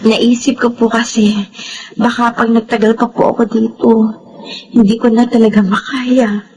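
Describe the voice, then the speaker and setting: An actress playing a soft-hearted, grieving young orphaned woman in an emotional, earnest Filipino radio drama style. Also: a little high, little pitch movement, moderate pace, a little hiss or hum, lightly acted, poor audio.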